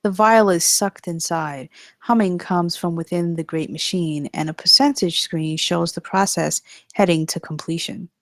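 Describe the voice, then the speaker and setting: Low, creaky woman narrator. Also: steady tone, normal speed, no room sound, studio quality. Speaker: narrator reading stage directions. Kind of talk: scripted narration of a screenplay table read.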